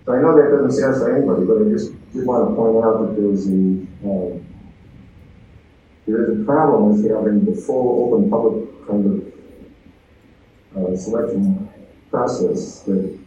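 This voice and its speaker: Male board member, very mellow, speaking at a meeting, heard from afar in a reverberant room. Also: lowish voice, moderate pace, pauses often, muffled recording. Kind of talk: public meeting remarks. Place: indoor public meeting room. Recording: very echoey meeting room, distant, faint noise.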